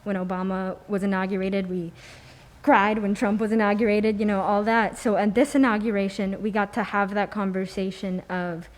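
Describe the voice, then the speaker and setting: A young American woman giving her reflections in a discussion, articulate and measured with fairly formal wording. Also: mid-range voice, level tone. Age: young adult.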